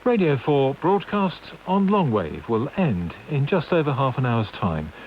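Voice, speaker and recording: A man's voice: medium pitch, sing-song, unhurried. A man reading scripted news as a radio newsreader over a long-wave broadcast. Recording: dull long-wave radio with faint hiss.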